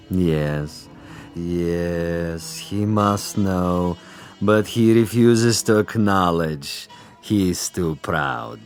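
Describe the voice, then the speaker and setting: An actor playing a cunning Soviet commissar in a radio drama, a pompous gamesman who speaks with taunting, theatrical precision. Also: deep voice. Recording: music behind the voice.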